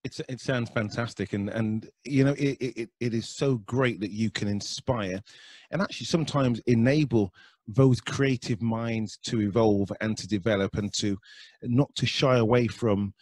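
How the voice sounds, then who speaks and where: A man's voice: mid-range voice, moderate pace, no room sound. A man hosting an interview show, asking questions with eager enthusiasm and frequent restarts. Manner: warmly enthusiastic, with stumbles and restarts. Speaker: show host.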